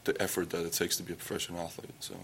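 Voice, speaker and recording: A young man from Estonia with an Estonian accent, answering press questions in halting English full of fillers and restarts. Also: monotone, fairly bright tone, slight background noise. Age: young adult. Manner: halting, many fillers and restarts, low-key. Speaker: athlete at a press conference.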